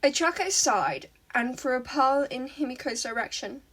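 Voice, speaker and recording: Woman, high-pitched, animated intonation, expressive story narration, fan fiction reader. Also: normal speed, breathy edge, distant mic.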